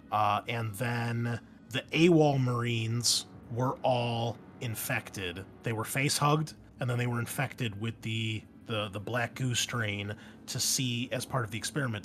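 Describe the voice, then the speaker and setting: A man's voice: medium pitch, a bit bright, slight background noise. A man working as a game master, casually explaining a tabletop game's story in a hesitant way as he pieces details together from memory.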